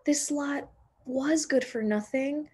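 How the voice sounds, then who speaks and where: A woman voicing a gruff old neighbor man turned tender, in a storybook. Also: sits high, slow pace, dark tone, voice pushed up. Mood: moved, tender.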